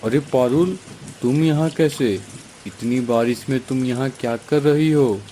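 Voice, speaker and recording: Guy doing a crow neighbour in a kids cartoon, mellow everyday voice, brotherly but selfish, rain noise behind. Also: medium pitch, unhurried, lightly acted. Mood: surprised. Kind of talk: dramatized Hindi children's cartoon story.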